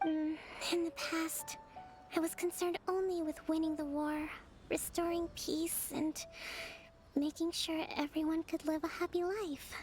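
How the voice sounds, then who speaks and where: Music plays behind a high, youthful female voice, a dignified priestess who leads an army in a video game. She is gentle and composed. Her phrasing is formal and carefully measured. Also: little pitch movement. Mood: soft, tired. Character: gentle, dignified young priestess and military leader.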